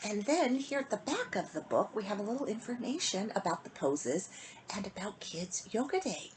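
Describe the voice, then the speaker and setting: A woman reading a rhyming picture book aloud to children in an expressive, sing-song manner, with phrases that often end softly. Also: medium pitch, normal speed, background noise. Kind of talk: storybook read-aloud for children.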